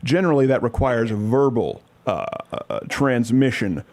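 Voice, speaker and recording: A man's voice: mid-range voice, lively intonation, normal speed, a little mellow, lightly acted. A man playing an indignant father on a prank complaint call, pompous and wordy, with mock-formal sarcasm. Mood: condescending, sarcastic.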